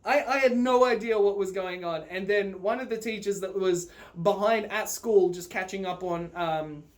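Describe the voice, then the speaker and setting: A vlogger chats casually to viewers with a mid-pitched voice. The delivery is rambling and informal, with loose swearing and a readiness to laugh, in the style of off-the-cuff personal storytelling. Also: normal speed.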